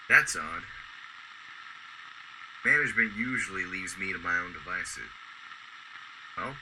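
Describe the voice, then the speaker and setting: An actor playing a kindly middle-aged garbage truck driver in a radio drama, warm, polite and folksy. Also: male voice, sits low, noisy background. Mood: puzzled. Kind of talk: golden-age radio drama acting.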